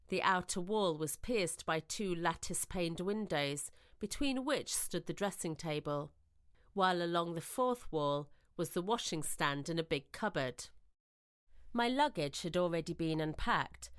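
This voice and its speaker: A woman reading scripted ghost-story narration in a measured, literary, suspenseful manner, as in a radio drama. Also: fairly low pitch, clear, resonant tone, no room sound, studio quality.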